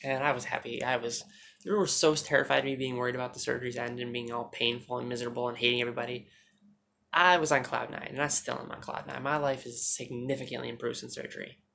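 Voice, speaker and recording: A woman vlogging on her phone at home, chatting fast and joking with some swearing, her naturally deep voice held higher. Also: little pitch movement, gravelly, fairly bright tone, slight background noise. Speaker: YouTuber. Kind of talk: casual storytelling vlog. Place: indoors at home, TV behind her.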